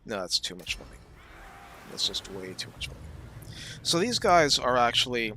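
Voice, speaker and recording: A man giving casual gameplay commentary, explaining his strategy thoughtfully and often pausing with fillers. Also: medium pitch, big pitch swings, pushed and bright, a little hiss or hum.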